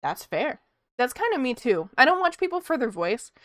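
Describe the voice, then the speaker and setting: A woman's voice: sits high, very animated, moderate pace, a bit bright, no echo. Female streamer, casual rambling chat, soothing voice, dry close-mic sound. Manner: rambling and chatty, laughs often. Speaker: art streamer.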